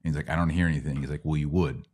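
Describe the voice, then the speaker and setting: A man's voice: extremely low pitch, fairly even intonation, racing, a little mellow. A middle-aged man hosting a casual live question-and-answer show, teaching step by step with questions and simple analogies. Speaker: podcast host answering Bible questions.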